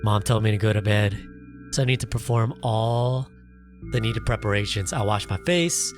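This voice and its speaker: A man's voice: on the low side, normal speed. A man doing a let's play, reading a visual novel's text aloud dramatically and breaking off for playful, casual asides. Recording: loud music behind the voice. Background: game music and sound effects.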